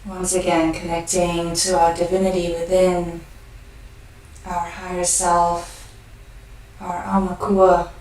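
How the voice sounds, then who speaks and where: A woman leading a guided meditation, speaking gently and unhurriedly in short phrases with pauses between them. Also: lowish voice, monotone. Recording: very echoey room, distant mic.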